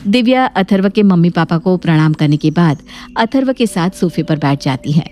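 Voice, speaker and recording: A woman's voice: fairly low pitch, expressive, moderate pace, warm tone. A woman reading scripted story narration. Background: background music.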